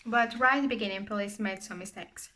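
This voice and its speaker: Bright-voiced Portuguese-accented young woman. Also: medium pitch, fairly even intonation, brisk, a little hiss or hum. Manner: conversational, frequent fillers and restarts. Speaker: true crime YouTuber. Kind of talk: casual true crime narration.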